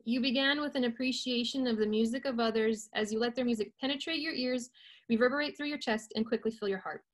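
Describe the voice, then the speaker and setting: A woman reading her own poem over a video call, earnest and steady. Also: a little high, quick pace. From United States. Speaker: poet.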